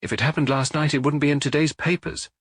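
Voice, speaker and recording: A man voicing Maigret, a dry, ironic middle-aged detective on holiday, a little higher than his narrating voice. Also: steady tone, fast talker, breathy edge, no echo. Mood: wry.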